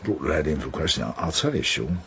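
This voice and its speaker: An actor playing a middle-aged British detective sergeant, unflappable and fatherly, with a measured, dry, polite delivery in radio drama style. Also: a bass voice, expressive, a bit bright, a little hiss or hum, full character voice. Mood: low, confiding. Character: senior police detective sergeant, unflappable, dryly courteous, fatherly mentor. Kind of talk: naturalistic radio drama acting.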